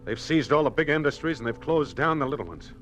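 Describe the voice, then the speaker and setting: An actor playing a grave, earnest husband who resists a regime, in a 1950s radio drama. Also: male voice, on the high side, animated, fast, a bit bright, soft background music. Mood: grim, resolute.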